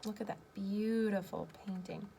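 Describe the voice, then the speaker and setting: A woman's voice: mid-range voice, steady tone, moderate pace. A woman speaking as a teacher, reading a storybook aloud and talking to children. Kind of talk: children's storybook read-aloud and lesson talk. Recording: clear background noise.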